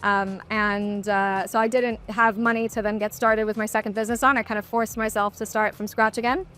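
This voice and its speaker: A woman's voice: mid-range voice, level tone, fairly quick, bright, forceful tone, faint music behind. A woman who founded her own company, talking casually on a panel in a matter-of-fact way.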